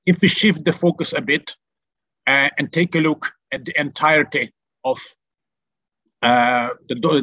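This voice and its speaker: A man's voice: on the high side, pushed and bright, no echo. A man from Hungary with a Hungarian accent gives a methodical military briefing over a video call, with frequent filler sounds. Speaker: military analyst. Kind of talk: briefing over a shared map. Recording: dull lo-fi online call audio.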